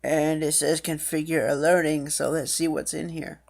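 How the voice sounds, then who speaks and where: A woman's voice: low-pitched, level tone, moderate pace, creaky, a little mellow, a little hiss or hum. A woman giving a casual tutorial walkthrough, reading screen text aloud and then explaining it. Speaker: app reviewer and demonstrator.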